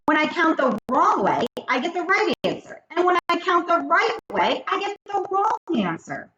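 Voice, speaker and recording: An earnest young schoolboy played by a woman reading a storybook pleads and protests in a high, swooping voice. He is stubborn and a little whiny, always exclaiming. The sound is roomy, with echo around the words. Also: big pitch swings, normal speed, bright, forceful tone, muffled recording, heavily acted. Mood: frustrated. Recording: roomy echo, faint background noise.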